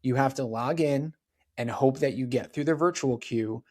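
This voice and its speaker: A man's voice: mid-range voice, moderate pace, a little mellow. A man in his forties, a podcast guest, chatting casually and dropping lots of "like" and "right?" asides. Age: 40s. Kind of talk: casual interview chat.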